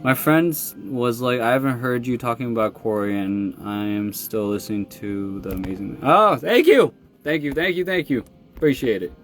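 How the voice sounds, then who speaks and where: A man in his thirties chatting casually on a phone live stream, reading fan comments and answering in a relaxed way, with plenty of fillers and laughs. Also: medium pitch, moderate pace, soft background music. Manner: laid-back, lots of fillers and laughs. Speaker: musician streaming live with fans.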